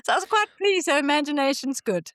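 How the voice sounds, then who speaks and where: A woman's voice: high-pitched, animated, fast talker, a bit bright, no echo, clean studio sound. An older woman from Zimbabwe with a Zimbabwean accent, hosting a casual interview chat with enthusiasm and frequent laughter. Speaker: podcast host.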